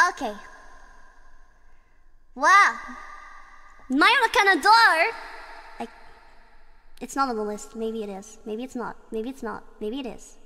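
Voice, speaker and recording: A woman's voice: sits high, pushed and bright, long reverb tail. A woman streamer with a strong singing voice, chatting and giggling between songs on a karaoke stream. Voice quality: strong belting voice. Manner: giggly, chatty, reads chat aloud.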